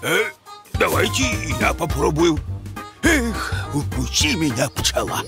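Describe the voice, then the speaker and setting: Folksy animated bear: drawling, creaky, kindly countryman, music underneath. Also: a little high, takes it slowly, exaggerated voice. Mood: good-natured. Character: slow, folksy, good-natured male cartoon bear. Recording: music behind the voice.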